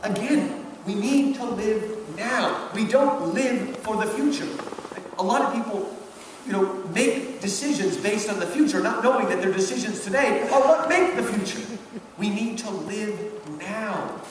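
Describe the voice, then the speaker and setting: High-pitched emphatic man lecturing in echoey hall. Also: unhurried. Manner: emphatic, rhetorical, checks in with "right?" and "okay?". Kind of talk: live public lecture with literary commentary. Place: large reverberant hall at a public event. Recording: echoey hall from far off, noisy.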